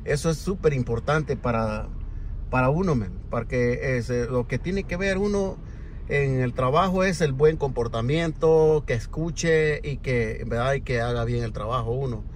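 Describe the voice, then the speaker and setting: A man's voice: mid-range voice, normal speed. A man giving casual advice from behind the wheel, rambling and filling his talk with "men" and "¿verdad?". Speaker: vlogger. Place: inside a car, driving. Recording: distant phone mic with loud road noise. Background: car and road noise.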